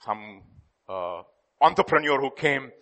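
A man preaching a sermon, emphatic, jumping between loud declarations and hushed asides. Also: medium pitch, expressive, a little slow. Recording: long hall reverb.

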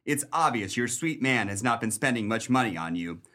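Guy doing a tough talking 30s detective, dry n bitter, average pitch, old crime radio drama. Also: lively intonation, moderate pace, pushed and bright. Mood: sardonic. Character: hard-boiled 1930s police detective, dogged, bitter man. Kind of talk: 1930s pulp crime radio play acting and narration.

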